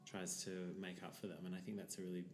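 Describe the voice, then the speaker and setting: A man, a musical theatre performer, chatting casually and playfully with quick laughs. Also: deep voice, little pitch movement. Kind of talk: casual chat. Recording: roomy echo.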